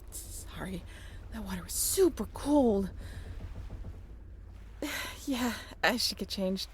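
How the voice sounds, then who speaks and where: A woman playing a shy, flustered young former college roommate in a roleplay audio drama, speaking in a halting, bashful, conversational way. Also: mid-range voice, animated, slight background noise.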